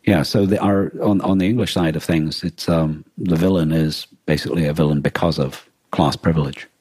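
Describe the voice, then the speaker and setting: A man co-hosting a podcast, explaining things in a casual, halting discussion as he thinks aloud. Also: very deep voice, steady tone, warm tone, breathy edge, no echo. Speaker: podcast co-host. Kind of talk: casual discussion of a radio drama.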